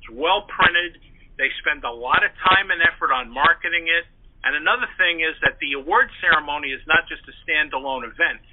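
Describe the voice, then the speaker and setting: A man's voice: on the high side, brisk. A man speaking as a guest author and historian, explaining at length in an interview. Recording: dull phone-like lo-fi line.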